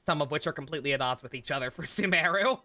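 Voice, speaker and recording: A man giving casual live stream commentary on a game, rambling, halting and often correcting himself. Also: on the high side, pushed and bright. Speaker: game livestreamer. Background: game music.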